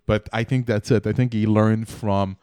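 A man's voice: on the low side, steady tone, moderate pace, warm tone, clean tone, no room sound, clean studio sound. A man from French Canada, a native French speaker, co-hosting a casual book discussion with stammering restarts. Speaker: podcast co-host.

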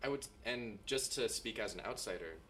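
A man's voice: lowish voice, brisk, sharp tone, a little hiss or hum. An American man interviewing a band, asking long, rambling questions. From United States. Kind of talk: podcast interview.

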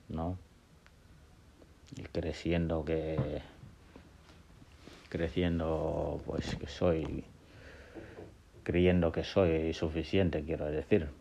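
Young man from Gambia, podcast host, slow and gravelly, telling his life story with fillers and restarts. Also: rumbling low, warm tone. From Gambia and Senegal. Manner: halting, many fillers and restarts. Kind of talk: casual personal monologue. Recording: dull lo-fi sound with faint noise.

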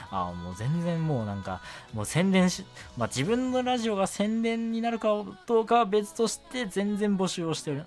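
A young man hosting a casual solo radio show, talking politely and chattily with frequent short affirmations. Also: mid-range voice. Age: young adult. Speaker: radio show host, amateur voice actor. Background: background music.

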